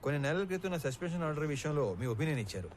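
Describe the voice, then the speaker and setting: An actor playing a composed, probing government officer in a film drama, polite and understated. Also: male voice, fairly high pitch, animated, rapid-fire, slight background noise, close to an everyday voice. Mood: polite, guarded. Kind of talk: Telugu film drama dialogue. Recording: film soundtrack with music.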